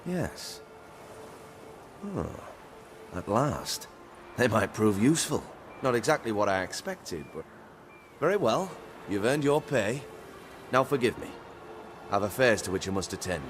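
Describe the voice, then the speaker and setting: Imperious fantasy game king, lowish voice, highly varied intonation, sardonic, theatrical, biting. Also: sing-song, a little slow, pauses often, faint music behind. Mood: grudging, dismissive.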